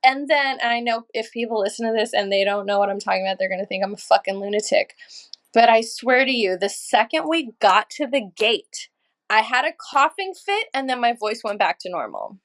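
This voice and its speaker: A woman from Los Angeles, a podcast guest, telling stories in a lively, casual way with easy swearing and laughter. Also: a little high, a little fast. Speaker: podcast guest, energy healer and channeler. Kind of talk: casual storytelling chat.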